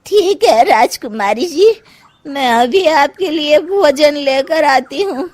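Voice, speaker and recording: A woman plays a wily, put-upon older mother-in-law in a comic cartoon. She grumbles sarcastically, drawing her words out very slowly with big, lively pitch swings. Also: noisy background. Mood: resigned. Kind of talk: Hindi cartoon comedy acting.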